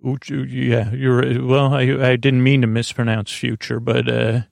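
A man hosting a sleep podcast, talking gently in a meandering, halting, goofy monologue with a creaky voice. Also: medium pitch, little pitch movement, dry recording. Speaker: podcast host.

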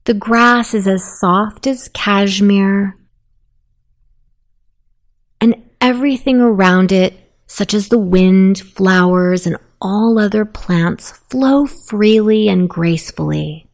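Slow, creaky-voiced woman guiding sleep meditation. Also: medium pitch. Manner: soothing, unhurried, softly spoken. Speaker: guided meditation host.

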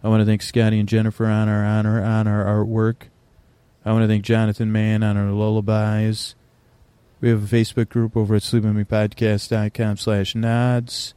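Man, sleep podcast host, mellow dark voice, meandering halting delivery, recorded on location. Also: fairly low pitch, completely flat delivery, smooth, no room sound. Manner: meandering, halting, with many restarts and fillers. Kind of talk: rambling bedtime podcast talk. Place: quiet corner of a busy airport.

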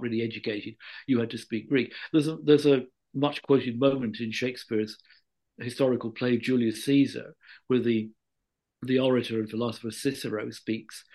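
A man speaking as a guest expert in an interview, explaining history in a scholarly, measured way and often rephrasing himself with careful qualifications. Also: medium pitch, darkish tone.

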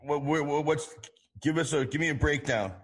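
A man hosting a conversational interview, asking questions haltingly and restarting them several times. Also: a little high, breathy edge. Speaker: show host, interviewer.

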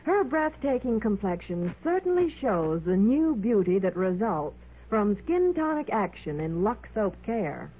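A woman's voice: mid-range voice, very animated, measured pace, a little hiss or hum. A woman presenting as a 1950s radio reporter, giving scripted promotional copy in a gushing, polished way. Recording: muffled 1950s radio recording.

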